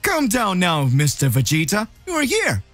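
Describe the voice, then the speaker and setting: A man voicing a calm, courteous angelic attendant from anime, polite and soothing. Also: sits high, moderate pace, dry recording, soft background music, exaggerated voice. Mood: reassuring.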